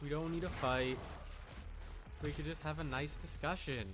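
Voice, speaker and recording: A man's voice: on the high side, fairly even intonation, normal speed, background noise. A man chatting casually on a gaming livestream, rambling and emphatic as he corrects himself, with faint game sound behind him. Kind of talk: casual gaming stream chat. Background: video game sound.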